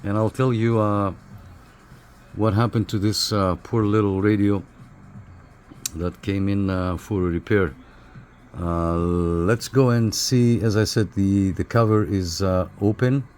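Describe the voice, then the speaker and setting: A man giving a casual hands-on equipment review in a halting, informal, explanatory way. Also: on the low side, fairly even intonation, darkish tone, a little hiss or hum. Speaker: radio hobbyist reviewer. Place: small apartment room.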